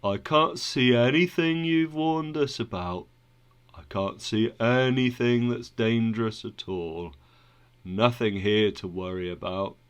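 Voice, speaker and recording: A man voicing a sleepy, careless male traveler in chains, speaking lower and softer with a dismissive tone. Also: fairly even intonation, takes it slowly, smooth, natural voice. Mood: unbothered.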